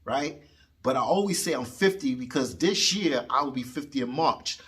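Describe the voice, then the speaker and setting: A man in his late forties from New York, ranting in a loud, boastful, swear-filled casual vlog from his basement gym. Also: medium pitch, lively intonation, moderate pace. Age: 40s. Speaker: boxing vlogger. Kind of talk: casual profane vlog rant.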